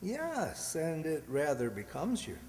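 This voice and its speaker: A man playing a bashful, courting young country man in a radio drama, earnest and halting. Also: a little high, wildly swooping pitch, darkish tone, full character voice. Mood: tender, bashful. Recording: hall-like long reverb.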